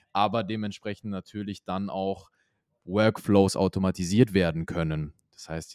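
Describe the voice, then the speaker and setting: A young man with a very low voice explains his company fluently and at length, like a startup founder on a business podcast. The recording is dry and close, with no room sound. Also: darkish tone, clean tone, dry recording. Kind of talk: podcast interview answers.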